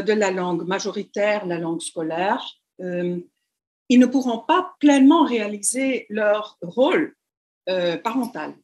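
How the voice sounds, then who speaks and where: A woman's voice: medium pitch, darkish tone. A woman giving a lecture over slides by webcam, speaking French as a second language, with frequent fillers and restarts. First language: not French. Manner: hesitant, many fillers and restarts. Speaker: university lecturer.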